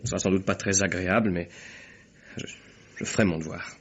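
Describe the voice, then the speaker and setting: An actor playing a nervous, evasive, cowardly suspect in a radio mystery, stammering and defensive. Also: male voice, low-pitched, fairly even intonation, fairly quick, breathy edge. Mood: hesitant, self-justifying. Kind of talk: French radio mystery drama acting. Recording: dull, muffled old radio recording.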